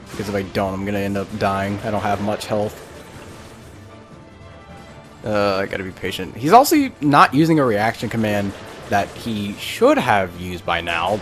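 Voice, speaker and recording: A man giving casual, halting live game commentary, breaking off to exclaim at the game. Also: lowish voice. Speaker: gaming video creator.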